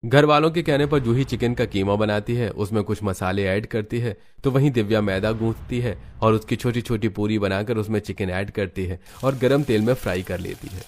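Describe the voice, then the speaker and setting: A man's voice: lowish voice, normal speed. A man reading scripted narration for a cartoon family story.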